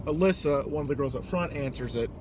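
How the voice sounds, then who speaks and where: Higher-pitched American man telling a story, noisy background. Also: quick pace. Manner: hesitant, sweary, acting out dialogue. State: still worked up. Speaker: storytelling vlogger and delivery driver. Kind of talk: casual personal storytelling. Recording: noisy, car-like ambient background noise.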